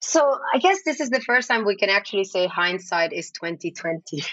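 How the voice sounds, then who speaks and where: A woman who grew up in the Netherlands and is a native Dutch speaker, giving thoughtful, measured answers as an interview guest. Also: mid-range voice, lively intonation, a bit bright, no echo, lo-fi sound.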